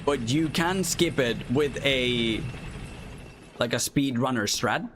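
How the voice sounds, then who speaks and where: Guy streaming a game, mid-pitched, chatty, reads chat and apologizes a lot. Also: takes it slowly, background noise. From Finland. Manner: chatty, apologetic, thinks aloud. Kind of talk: live gameplay commentary, reading chat aloud.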